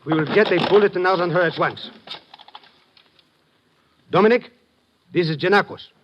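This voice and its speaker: An actor playing a formal, businesslike Greek police lieutenant who gives precise orders in a 1950s radio drama. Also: male voice, sits high, normal speed, lightly acted. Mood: brisk, official. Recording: muffled, noisy old radio recording.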